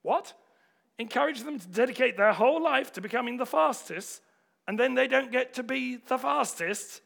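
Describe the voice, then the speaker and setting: An exasperated champion athlete acted out by a man in a comedy sketch, brutally frank and insistent. The voice rides high, bright and forceful, hammering every point with indignation. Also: expressive, moderate pace, gravelly, full character voice. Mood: baffled, frank.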